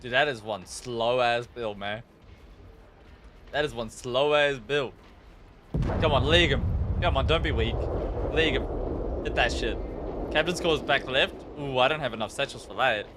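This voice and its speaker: A man's voice: medium pitch, moderate pace, pauses often, background noise. A man with a New Zealand accent chatting casually and informally on a gaming livestream, rambling and swearing freely. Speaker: live game streamer.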